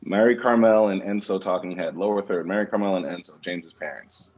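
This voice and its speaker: A man's voice: deep voice, fairly even intonation, brisk, highs cut off. A man, the writer, reading out stage directions as narration at a script table read.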